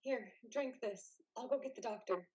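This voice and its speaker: Woman playing a high-voiced, worried wife. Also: normal speed, a little mellow, breathy edge. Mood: relieved, anxious. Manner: tender, worried. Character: anxious, tender young wife of a veteran. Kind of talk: live amateur play reading.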